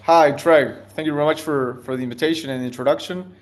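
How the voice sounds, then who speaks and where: A man's voice: fairly high pitch, steady tone, quick pace. A man, answering interview questions as a tech founder in a thoughtful way, searching for words as he goes. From Argentina. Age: 30s.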